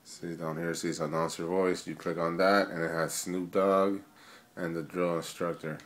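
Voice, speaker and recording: Very low-voiced man, casual and hesitant. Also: level tone, moderate pace, fairly bright tone. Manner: informal, hesitant, thinking aloud. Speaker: amateur gamer making a tutorial. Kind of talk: casual spoken game tutorial. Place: indoors in a room, filming a TV screen.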